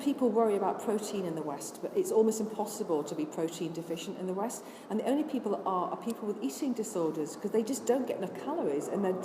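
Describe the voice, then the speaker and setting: An older woman from Scotland who has lost her accent, answering interview questions in an earnest, chatty way and listing things off with many restarts. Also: mid-range voice. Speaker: interviewee, vegan charity worker. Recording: echoey room with noise.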